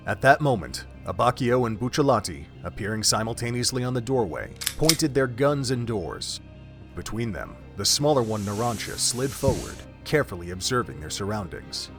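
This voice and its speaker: Male story narrator, fairly low voice, measured and literary, music bed. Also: sing-song. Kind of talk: scripted story narration for an audio drama. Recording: background music under the voice.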